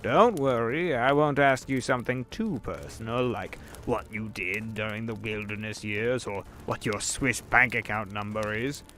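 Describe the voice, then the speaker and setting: A man voicing the Devil as a sly, smooth-talking businessman in an audio drama, drawling and knowing. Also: fairly low pitch, measured pace, few pauses, pushed and bright, a little hiss or hum. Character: the Devil posing as suave rich businessman, middle-aged man. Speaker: voice actor. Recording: with background music.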